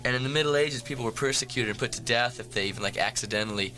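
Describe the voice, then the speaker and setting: A man's voice: medium pitch, racing, pushed and bright. A man being interviewed as a musician guest on a campus radio show, rambling with wry jokes and asides.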